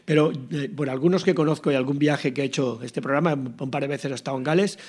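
A man's voice: medium pitch, fast, a little mellow, slightly rough. A man with an accent from Spain co-hosting a travel programme, chatting informally and musing as he goes. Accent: Spain Spanish. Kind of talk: casual conversational chat.

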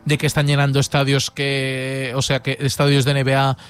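A man's voice: mid-range voice, completely flat delivery, normal speed, clean tone. A man with an accent from Spain co-hosting a casual sports talk show, thinking aloud as he goes. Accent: Spanish from Spain.

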